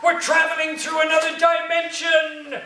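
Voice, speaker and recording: A man's voice: extremely high pitch, completely flat delivery, normal speed. A man playing an eccentric, theatrical college professor who speaks in a grandiose, whimsical way in a staged play reading. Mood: excited, commanding. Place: echoey room, staged reading. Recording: very echoey room, far off, faint noise.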